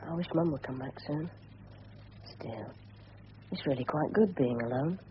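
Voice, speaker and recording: An actor playing an earnest, excitable teenage schoolboy in a radio drama, talking in clipped phrases. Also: male voice, fairly high pitch, measured pace, close to an everyday voice. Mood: idle, musing. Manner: clipped, thinking aloud. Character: earnest English schoolboy in his teens, excitable, curious. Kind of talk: 1970s radio drama acting. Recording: dull, noisy old radio broadcast.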